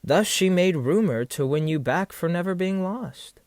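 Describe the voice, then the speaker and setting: Man playing scheming period military captain, widely swooping pitch, florid, seductive, pausing rhetorically, dry close sound, audio drama. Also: lively intonation, a little mellow, no echo. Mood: smooth, manipulative. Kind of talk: stage-play style period audio drama acting.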